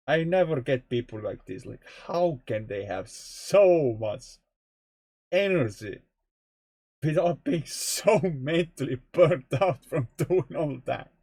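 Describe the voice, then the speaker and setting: A young man muses aloud at an unhurried pace, his pitch swinging widely from line to line. He talks in a loose, chatty way and swears casually. The recording is dry and close, with no room sound. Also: a little mellow, slightly rough, no room sound. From Estonia. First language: Estonian. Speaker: streamer. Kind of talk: rambling gameplay commentary.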